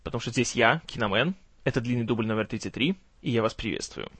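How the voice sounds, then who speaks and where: A man hosting a film news show in casual commentary, his voice strained and kept low in volume, with a gloomy, wry, sarcastic manner and some stumbling over words. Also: fast, a bit bright, breathy edge, dry recording. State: strained, tired voice, can't speak loud.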